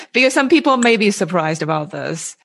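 A man's voice: sits high, big pitch swings, moderate pace, fairly bright tone. A male interviewer speaks in a casual, conversational way. He sounds tentative as he builds his questions, often starting over and rephrasing them for the listener.

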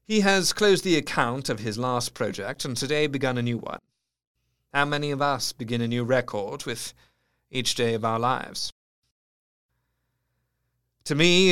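A man's voice: medium pitch, fairly bright tone. A man playing an earnest Victorian asylum doctor who narrates his diary in a formal, brooding, intense way. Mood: fascinated, excited, then heartbroken. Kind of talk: dramatic first-person diary narration.

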